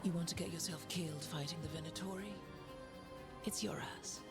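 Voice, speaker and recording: A woman's voice: fairly low pitch, brisk, close to an everyday voice. An actress playing a hard-bitten, sneering woman bar owner in a video game, sardonic and challenging. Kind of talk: video game cutscene dialogue. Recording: loud game music behind.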